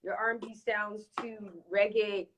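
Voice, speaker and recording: A woman's voice: medium pitch, steady tone, unhurried, a bit bright, a little hiss or hum. A woman from Toronto chats casually as a guest in a live interview. She is candid and emphatic, often repeating a phrase to drive it home, with a mid-range voice. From Toronto, Canada. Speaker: reggae dancehall singer, interview guest.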